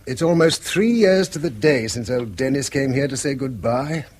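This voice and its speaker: Actor as a donnish English schoolmaster, husky voice. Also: male voice, medium pitch, moderate pace, natural voice. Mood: mild, musing. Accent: English Received Pronunciation. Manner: precise, donnish, gently scolding. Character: pedantic, mild-tempered English schoolmaster and husband. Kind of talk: mid-century British radio drama acting.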